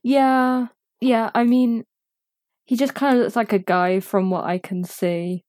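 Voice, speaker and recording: A woman co-hosting a podcast in casual chat, making joking deadpan boasts. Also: a little high, unhurried, dry recording, studio quality. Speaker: podcast co-host.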